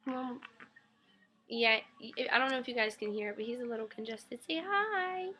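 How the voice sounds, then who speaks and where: A young woman recovering from an illness, her voice a little raspy, rambling casually about her newborn son with lots of ums. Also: fairly high pitch, moderate pace, pushed and bright. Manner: rambling, many ums, coos baby talk to her infant. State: getting over an illness. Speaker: new mother vlogger. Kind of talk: casual webcam update. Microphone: webcam mic.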